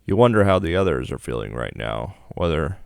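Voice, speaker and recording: A man's voice: rumbling low, very animated, a little fast, gravelly, darkish tone, no echo, clean studio sound. A man reading the narration of a visual novel aloud as a gaming video host.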